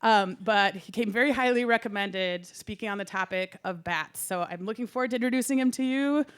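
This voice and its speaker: A woman hosts an evening talk in a smooth, clear voice. She chats casually, giggling often between little fillers. Her pitch sits right in the middle. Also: fairly quick. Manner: chatty and giggly, with many fillers. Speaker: event host. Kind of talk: casual introduction to a live audience.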